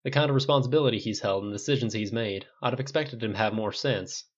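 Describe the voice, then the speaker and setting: A man voicing a practical, level-headed male ranch hand with a dry, measured manner in an audiobook story. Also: medium pitch, muffled recording, lightly acted.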